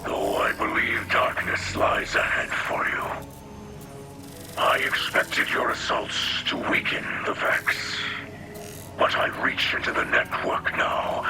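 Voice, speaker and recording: An actor playing a solemn, devout alien elder in a video game, with a breathy rasp and halting, formal phrasing. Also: male voice, mid-range voice. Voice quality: breathy, rasping, half-whispered. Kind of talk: scripted video game cutscene acting. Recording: game music behind the voice.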